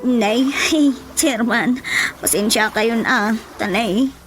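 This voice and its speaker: An actress playing a distressed, complaining village wife with an apologetic manner in a radio comedy drama. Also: female voice, a little high, very slow, faint music behind. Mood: aggrieved, explaining.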